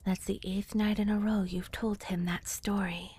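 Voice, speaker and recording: A woman playing a worried, loving wife and mother in an audio drama, hushed and breathy, gently earnest. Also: medium pitch, level tone, normal speed, close to an everyday voice. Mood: gentle, teasing. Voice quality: breathy, whispery.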